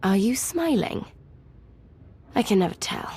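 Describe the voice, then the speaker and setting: Sadistic game villainess, husky, teasing, sweetly cruel, gleeful. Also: female voice, mid-range voice, expressive, measured pace, darkish tone. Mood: gleeful, taunting. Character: playful, sadistic villainess, gleeful about destruction.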